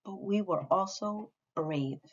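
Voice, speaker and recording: A woman reading a storybook, voicing a tender, quietly brave old grandmother. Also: medium pitch, takes it slowly, husky, muffled recording. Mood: hushed, brave.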